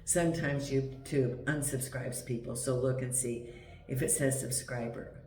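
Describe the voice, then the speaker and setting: A man with a cold gives a chatty, meandering tarot reading at home, his voice croaky. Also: medium pitch, fairly even intonation, unhurried, darkish tone, far from the mic. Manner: chatty, meandering, with many ums. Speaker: tarot reader. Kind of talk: casual tarot reading to camera. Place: home in Portugal. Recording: echoey room, voice set back from the mic, faint hiss.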